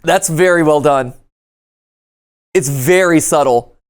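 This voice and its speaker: A man's voice: a little high, slow. Male host for casual reaction commentary, playful and joking, conversational delivery.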